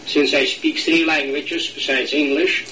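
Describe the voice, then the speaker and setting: An older man from Latvia, speaking English with a Latvian accent, recalls his past in an oral history interview, pausing and searching for words. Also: on the high side, fairly even intonation, bright, forceful tone, echoey room, far from the mic, slight background noise, poor audio. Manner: halting, searching for words, slight non-native grammar.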